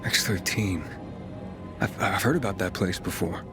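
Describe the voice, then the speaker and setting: An actor playing a guarded, inquisitive wanderer, the hero of a video game, speaking in brief probing lines. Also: male voice, low voice, normal speed, vocal fry, music in the background. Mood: intrigued. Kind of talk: video game cutscene acting.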